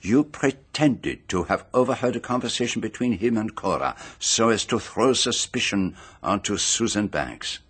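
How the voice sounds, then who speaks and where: Radio mystery sleuth: composed, shrewd, methodical, low register with a husky grain, precise dramatic pauses. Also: male voice, lively intonation, fairly bright tone. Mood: grave, methodical. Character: shrewd, composed male detective laying out a murder case.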